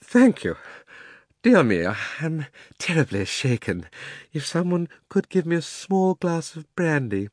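A man's voice: fairly high pitch, big pitch swings, unhurried, no echo, studio quality, pitched above the performer's own voice. Man doing a shaky old gent, faint voice, wants brandy. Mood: shaken. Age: older. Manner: faint, quavering. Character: shaken, pompous old gentleman.

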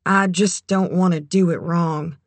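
A woman voicing a hesitant, naive, embarrassed young woman in audiobook dialogue, quiet and tentative. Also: on the low side, steady tone, unhurried, dry recording. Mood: shy, embarrassed.

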